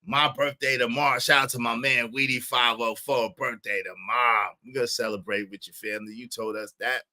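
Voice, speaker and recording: A man's voice: mid-range voice, steady tone, brisk, sharp tone. An American man hosting a casual livestream, talking emphatically to viewers, repeating phrases and checking in with short tag questions. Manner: emphatic, repeats phrases, tags statements with "right?" and "okay?". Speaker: livestream host.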